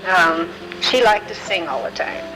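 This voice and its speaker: An elderly woman from Illinois in her nineties, gently recalling her life in an unhurried way, often pausing with um and uh. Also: mid-range voice, lively intonation, music in the background, rough recording. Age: older. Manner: gentle, unhurried storytelling with many ums and uhs. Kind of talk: reminiscing, answering memory prompts.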